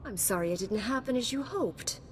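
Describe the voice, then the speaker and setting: An actress as a calm Jedi woman, noisy ambience. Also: a little high, lightly acted. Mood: sympathetic, calm. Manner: measured, earnest, formal. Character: calm, dutiful young woman Jedi Knight. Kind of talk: video game voice acting.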